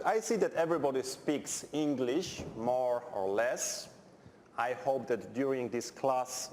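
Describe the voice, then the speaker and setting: A Polish man with a noticeable Polish accent lectures in English, his second language, in a blunt, dryly humorous way. His pitch sits at an ordinary middle level for a man. The sound carries the long reverb of a lecture hall over a faint background hum. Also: darkish tone. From Poland. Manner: blunt, direct, dry humour, simple phrasing. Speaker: chemistry lecturer. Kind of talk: university lecture.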